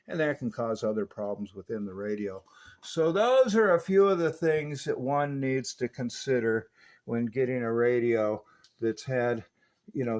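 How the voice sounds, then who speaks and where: An American man talks through a hands-on technical repair in an informal way. His pitch is average for a man. He sounds like a hobbyist technician, comfortable with jargon and explaining as he goes. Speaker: radio technician and collector presenting restoration. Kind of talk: hands-on repair walkthrough narration.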